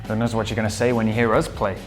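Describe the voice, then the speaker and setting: An actor playing an easygoing bandmate in a sitcom, teasing in a dry, deadpan, conversational way. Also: male voice, lowish voice, rapid-fire, soft background music, lightly acted.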